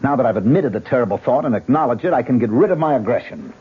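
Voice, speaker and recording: An actor playing a tormented man who hears ghost voices in an old radio drama, agitated and husky. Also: medium pitch, quick pace. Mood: tense. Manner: agitated, swinging between calm and outbursts. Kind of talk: 1970s radio drama acting. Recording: muffled lo-fi old radio broadcast.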